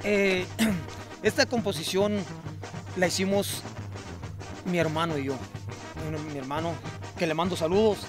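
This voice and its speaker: A man from Jalisco, Mexico, a singer answering interview questions outdoors in a hesitant, halting way. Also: high-pitched, music in the background. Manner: hesitant, halting, many restarts. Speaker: singer guest. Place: outdoor fairground patio.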